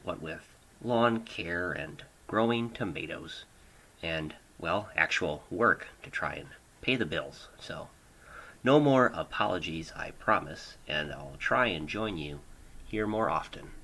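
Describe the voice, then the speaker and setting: An American man hosting an amateur radio show, narrating in deliberate, drawn-out phrases with pauses, recorded at home on a hobbyist microphone. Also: deep voice, animated, bright, forceful tone, low-quality recording. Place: home studio. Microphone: older hobbyist microphone.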